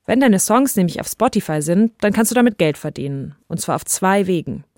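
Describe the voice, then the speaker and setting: A woman's voice: medium pitch, lively intonation, fairly quick, darkish tone, dry recording, studio quality. A woman hosting a podcast, telling a scripted story in a conversational narrating style. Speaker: podcast host. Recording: music bed under voice. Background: background music.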